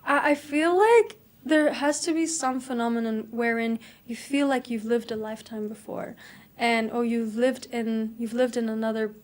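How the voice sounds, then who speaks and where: A woman's voice: a little high, normal speed. A young adult woman from South Africa, a guest in a casual interview, talking reflectively and chattily and laughing easily.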